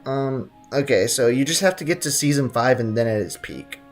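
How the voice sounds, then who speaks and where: A man's voice: medium pitch, lively intonation, normal speed. A young man chats with his viewers as he plays a game on stream. His mid-range voice is casual, slangy and playful. Faint game music runs underneath. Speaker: video game live streamer. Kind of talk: casual chat while gaming.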